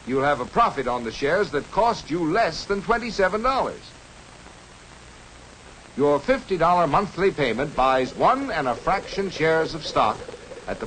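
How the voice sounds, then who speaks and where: Educational cartoon stockbroker: American, higher-pitched, lively delivery, reassuring salesman's patter, 1950s film soundtrack. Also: slight background noise, low-quality recording. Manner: instructive, salesmanlike. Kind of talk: 1950s educational cartoon narration, scripted explaining. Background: music.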